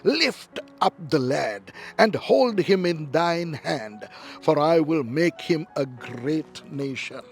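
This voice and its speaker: A man proclaims as an angel calling down from heaven, commanding and reassuring. The delivery rings out loudly and slowly, with faint music beneath. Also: a little high, lively intonation, vocal fry, exaggerated voice. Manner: loud, ringing proclamation.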